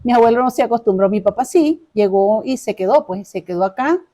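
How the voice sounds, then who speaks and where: Middle aged venezuelan lady, caracas accent, mellow voice, chatty and polite, telling her life story in an interview. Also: a little high, lively intonation, brisk. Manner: chatty, courteous, repeats phrases. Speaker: interview guest.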